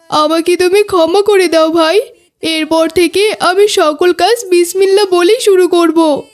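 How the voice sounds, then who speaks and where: A woman voicing an earnest, apologetic schoolboy in a cartoon, speaking in formal, careful phrases. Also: very high-pitched. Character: remorseful, pleading schoolboy who has hurt himself. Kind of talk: cartoon dialogue.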